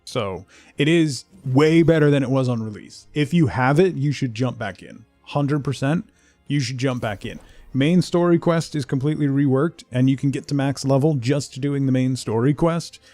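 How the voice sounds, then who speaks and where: A man's voice: medium pitch, moderate pace, mellow, no room sound, faint music behind. A middle-aged man streaming a game, chatting casually with viewers and muttering to himself in between. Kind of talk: casual chat while playing a game, replying to viewers.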